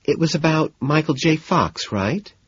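A man voicing a composed, soothing woman in an audiobook, gently concerned and quietly amused, with soft questions. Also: a little high, lively intonation, measured pace, slightly rough, pitched above the performer's own voice, full character voice. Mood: curious, amused. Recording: dull, lo-fi, roomy sound.

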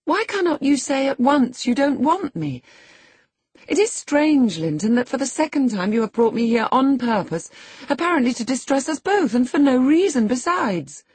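A woman's voice: mid-range voice, sing-song, measured pace, mellow, husky, no echo, voice pushed up, full character voice. A woman voicing a spirited, impatient young lady in an audiobook, proud, emphatic and demanding. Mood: indignant. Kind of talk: audiobook character acting.

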